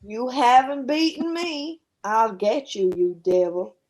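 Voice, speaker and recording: A woman's voice: a little high, lively intonation, slow, a little mellow, low-quality recording. A woman playing a sceptical, defiant woman in an amateur radio play. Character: sceptical, defiant woman feuding with a house ghost.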